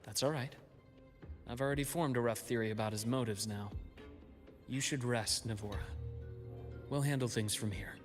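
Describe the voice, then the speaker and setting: An actor playing a calm, shrewd, quietly commanding doctor and teacher in a video game, speaking in a measured, deliberate way. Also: male voice, medium pitch, faint music behind, lightly acted. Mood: calm, reassuring.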